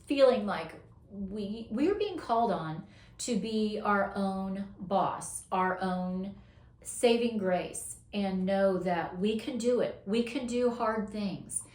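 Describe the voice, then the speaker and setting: A woman doing a casual weekly tarot reading, encouraging and affirming, her voice going hoarse as she talks. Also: medium pitch, darkish tone, echoey room. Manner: encouraging, affirming, drawn-out emphasis. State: losing her voice, coughing. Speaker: tarot reader.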